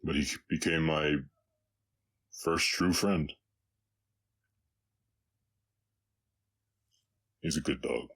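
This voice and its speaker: An extremely low-voiced orc cowboy, acted by a man, talks with a slight Southern drawl. He is weary and gentle, pausing often as he reflects, as if confiding in one listener in an intimate audio drama. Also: full character voice. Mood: fond, bashful. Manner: halting, reflective, soft-hearted, pausing often.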